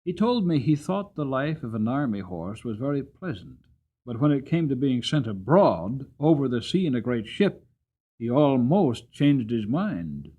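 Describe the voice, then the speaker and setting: A man narrating an audiobook in a dramatic, expressive and deliberate storybook style. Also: mid-range voice, husky, phone-quality sound.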